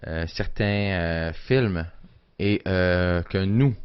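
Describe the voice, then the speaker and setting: A man's voice: low-pitched, very slow, smooth, lo-fi sound. A man with a Québécois French accent, co-hosting a casual podcast chat, emphatic and opinionated, often repeating himself. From Quebec. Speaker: podcast co-host.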